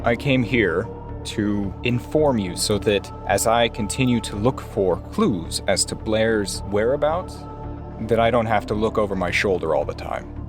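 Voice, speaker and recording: Man playing a low-voiced, earnest investigator. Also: expressive, a little slow. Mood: earnest, grave. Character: earnest, weary male private investigator pleading his case. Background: music behind the voice.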